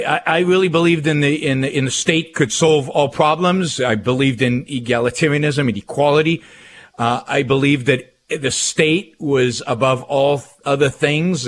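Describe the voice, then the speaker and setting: A man's voice: medium pitch, a little hiss or hum. Israeli-accented man hosting talk radio. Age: middle-aged. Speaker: guest radio host.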